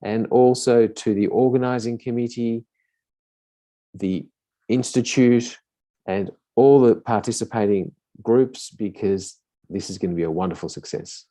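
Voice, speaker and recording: A man's voice: on the low side, measured pace, warm tone. A man closing his keynote with formal, effusive thanks on a video call. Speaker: keynote speaker.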